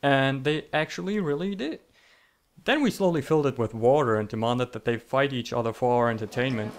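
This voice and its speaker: A man's voice: medium pitch, brisk, a little hiss or hum. A man telling comedic scripted stories in a theatrical, sarcastic, mock-dramatic manner. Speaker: storytelling video creator.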